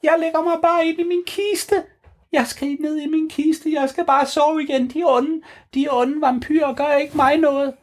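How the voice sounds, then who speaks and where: A man doing a cheery high-voiced vampire count. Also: steady tone. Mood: calm. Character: friendly old male vampire count.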